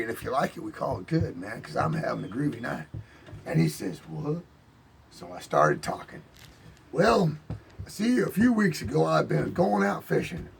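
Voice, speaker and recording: A man's voice: on the high side, creaky, husky. A man telling a rambling, boastful tall tale in a folksy drawl, as if chatting on a porch. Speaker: storyteller in character. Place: outdoors on a porch.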